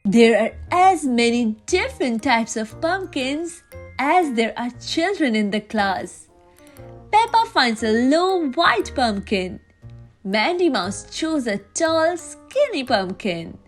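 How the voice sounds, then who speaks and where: A woman reading a children's storybook aloud in a deliberate, expressive, sing-song way. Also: high-pitched, soft background music. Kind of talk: read-aloud storybook narration for young children.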